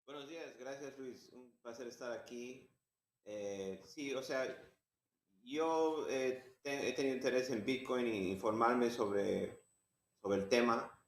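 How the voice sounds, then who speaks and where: A middle-aged man from Bolivia, a radio show guest who talks haltingly with many fillers. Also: medium pitch, reverberant, poor audio. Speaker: real estate agent and guest. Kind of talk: radio talk show chat.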